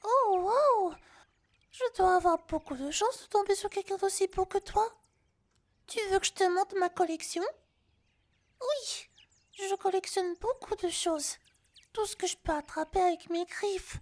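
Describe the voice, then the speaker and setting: A woman's voice: very high-pitched, unhurried, long pauses. A woman voicing a vain, scatterbrained harpy girl with a childlike, flighty delivery in an ASMR roleplay. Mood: flattered, eager.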